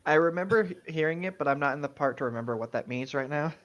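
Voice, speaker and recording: A man's voice: fairly high pitch, fast, fairly bright tone. A man joking around as a co-host in casual banter, swearing freely, on a slightly dull lo-fi microphone.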